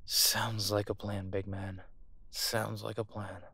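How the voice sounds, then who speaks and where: A man's voice: lowish voice, little pitch movement, slow pace, creaky, sharp tone, husky, no room sound, full character voice. A man acting an embattled, protective supernatural fighter in an audio roleplay, urgent and commanding. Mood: earnest, subdued.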